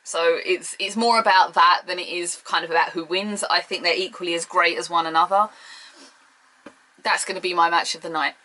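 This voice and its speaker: A young British woman chatting excitedly to camera, giving opinionated and playfully teasing wrestling predictions. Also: lowish voice, fairly quick, low-quality recording. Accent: British English. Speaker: wrestling preview vlogger. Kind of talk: casual opinionated chat to camera.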